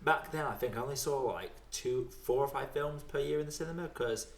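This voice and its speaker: A young man hosts a film podcast in a loose, chatty way. His voice sits at an average male pitch, and he is informal and quick to chuckle. The recording is noticeably echoey, like an untreated room. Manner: chuckles often while talking, informal swearing. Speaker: podcast host and film reviewer. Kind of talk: casual podcast chat.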